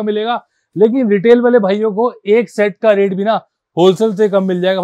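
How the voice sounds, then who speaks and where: A high-pitched male voice moves quickly from part to part, selling car accessories in a shop. He talks like a pushy salesman, keeps calling the viewer brother, and quotes sale prices. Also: darkish tone, dry recording, studio quality. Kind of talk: sales pitch walkthrough video.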